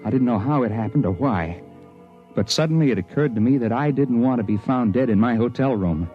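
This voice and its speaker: A man's voice: mid-range voice, animated, fairly quick, lightly acted. An actor playing a wary hired man caught up in time travel, in 1950s radio drama style. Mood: grim, tense recounting. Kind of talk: 1950s radio drama acting, first-person narration. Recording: muffled 1950s radio recording, loud music.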